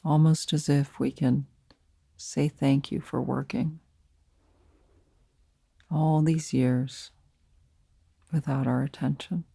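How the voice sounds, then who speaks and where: A woman leading a guided meditation as a teacher, speaking softly and soothingly in short, unhurried phrases with pauses between them. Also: low voice, steady tone, vocal fry, husky, dry recording.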